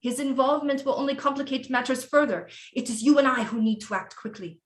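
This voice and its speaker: A woman acting as a commanding, scheming Renaissance queen mother in an audio drama, with formal, deliberate delivery. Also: high-pitched, lightly acted. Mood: commanding, instructing. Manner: imperious, formal, deliberate diction.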